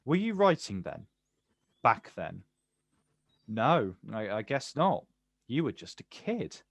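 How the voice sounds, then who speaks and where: An actor playing a bitter, protective older brother, confrontational and incredulous, in a naturalistic stage drama. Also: male voice, medium pitch, creaky, dry recording, exaggerated voice. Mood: subdued, reflective. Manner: confrontational, incredulous, clipped questions.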